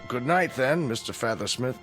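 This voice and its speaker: Guy acting a meek janitor in a radio drama, mid pitched, polite and unsure, music behind. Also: expressive, normal speed, a bit bright, lightly acted. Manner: hesitant, polite, humble. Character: deferential male night custodian, plain small-town man.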